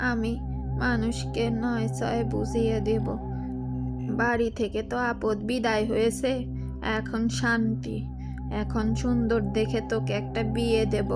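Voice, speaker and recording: A woman's voice: fairly high pitch, lightly acted. A woman voicing a callous, scheming older village mother in a cartoon, reassuring and conspiratorial.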